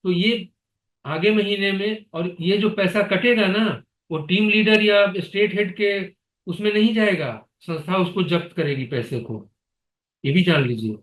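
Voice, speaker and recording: A man's voice: sits high, measured pace, low-quality recording. A man chairing a staff conference call in an authoritative, admonishing, questioning manner. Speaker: meeting chair, foundation leader.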